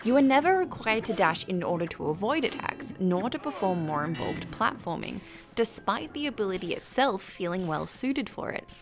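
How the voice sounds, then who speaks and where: A British woman reading a scripted review as narration, in a measured, articulate and formal way. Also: mid-range voice, very animated, music in the background.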